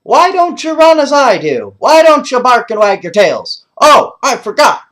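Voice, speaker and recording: An excitable, happy storybook dog performed by a man, pitched high and very animated. The collie barks out words with eager, playful exclamations. The delivery is boisterous and bouncy. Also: unhurried, heavily acted. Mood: eager, teasing. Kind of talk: animated children's storybook character voice.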